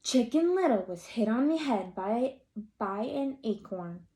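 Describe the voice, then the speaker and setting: A woman storyteller with a fairly high voice reads a children's picture book aloud. She is expressive and speaks directly to young listeners in asides and questions. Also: unhurried.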